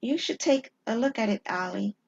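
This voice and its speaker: A woman's voice: a little high, expressive, moderate pace, vocal fry, muffled recording. Woman doing an earnest wife in an audio drama, coaxing and sincere, bit of noise behind. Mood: gently urging.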